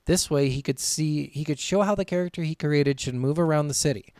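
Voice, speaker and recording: A man's voice: fairly high pitch, fairly quick, darkish tone, dry recording, clean studio sound. A man co-hosting a podcast, chatting informally with plenty of swearing and commenting on his notes as he goes. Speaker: podcast co-host. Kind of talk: casual chat, reading notes and commenting.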